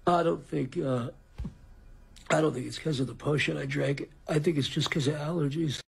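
A man's voice: a little high, fairly even intonation, moderate pace, gravelly. A man playing a hesitant, defensive guy in a comic cartoon skit. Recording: very noisy, clicking background.

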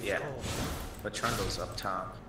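A man's voice: low-pitched, fairly even intonation, slow. A man chatting casually and swearing as a teammate during an online game. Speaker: gaming teammate. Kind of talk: casual gaming chat. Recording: echoey voice chat, loud music. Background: loud music, game.

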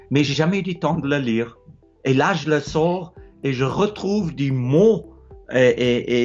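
A middle-aged man with an Afrikaans accent who speaks French as a second language, talking casually and haltingly about his explorer life, recorded indoors on a close-held phone. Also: mid-range voice, a little mellow, lo-fi sound. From South Africa. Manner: hesitant, repeats words, searching for words. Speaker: explorer sharing advice. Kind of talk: casual advice monologue. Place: wood-ceilinged room indoors. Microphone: phone held close, low angle.

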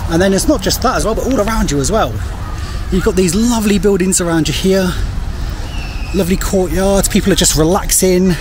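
A man's voice: sits high, fairly quick. An English-accented man hosts a casual travel vlog with music behind him. He is chatty and effusive, often repeating phrases for emphasis, and speaks into a handheld camera at arm's length. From England. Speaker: travel vlogger, host.

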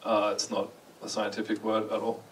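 Young Australian guy on a stage, relaxed and self-mocking, a bit distant from the mic in a large hall. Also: lowish voice, normal speed. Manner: chatty, self-deprecating, asks the audience questions. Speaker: conference speaker. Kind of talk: casual conference talk. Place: large dark lecture hall.

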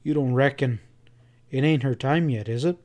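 A man voicing a grave, wounded cowboy in a Western audiobook, quiet and plain-spoken. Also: medium pitch, moderate pace, lightly acted. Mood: worried. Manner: quiet, rustic Western speech.

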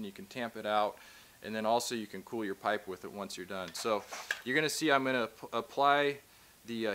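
A man in his thirties talks through a hands-on job in a casual, practical way, explaining each step as he works with his hands. His voice sits at an ordinary middle pitch, picked up close by the camera in a large workshop. A faint hiss of background noise runs underneath. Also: bright, forceful tone. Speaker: tradesman instructor. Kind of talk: hands-on how-to demonstration. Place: large indoor workshop with shelving.

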